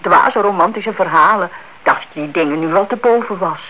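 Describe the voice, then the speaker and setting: An actress playing a fretful, suspicious older aunt in a Dutch radio play, speaking politely and anxiously. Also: female voice, fairly low pitch, moderate pace, sharp tone. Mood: confessional. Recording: dull, hissy old radio recording.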